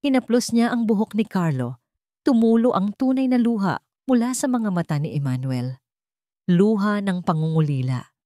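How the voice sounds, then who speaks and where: A woman's voice: fairly low pitch, mellow, dry recording, clean studio sound. A woman reading scripted story narration in a dramatic storytelling style.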